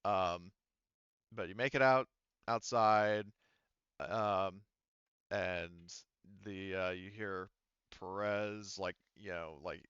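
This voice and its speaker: A man with a smooth, lowish voice works as game master at a tabletop game. He narrates haltingly, doubling back on his phrases, on a dry, slightly muffled mic. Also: steady tone, a bit bright, no room sound. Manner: halting, hesitant, often trailing off and restarting.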